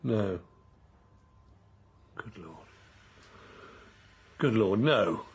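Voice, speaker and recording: A man in his sixties playing a quiet, hesitant retired man in a radio play, speaking in soft, trailing phrases. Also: on the low side, animated, drawn-out words, a little hiss or hum, full character voice. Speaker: radio drama actor.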